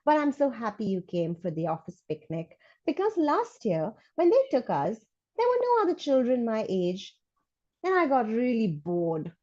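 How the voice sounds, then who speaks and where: A woman voicing a chatty, frank, excitable school child in an animated storybook read-aloud, gushing with dramatic emphasis. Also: on the high side, moderate pace, dull audio, pitched above the performer's own voice. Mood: excited, chatty.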